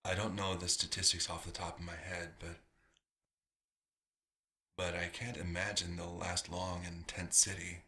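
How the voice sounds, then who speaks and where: A man playing a nostalgic, proud vampire doctor in an ASMR roleplay, musing theatrically and slipping into breathy whispers. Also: low-pitched, level tone, measured pace, long pauses, pushed and bright, heavily acted. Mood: wistful, worried.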